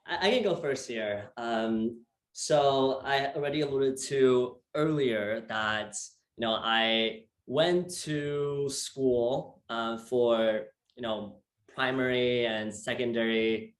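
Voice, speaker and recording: A man's voice: mid-range voice, slow. A young man from Taiwan hosting a casual interview, upbeat and full of fillers and repeated words. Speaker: host. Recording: echoey room.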